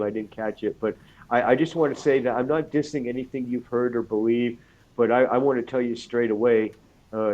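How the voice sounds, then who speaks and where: A man with a cold, talking casually as a guest on an online radio show, halting with fillers and restarts. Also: medium pitch, a little mellow, lo-fi sound. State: has a cold.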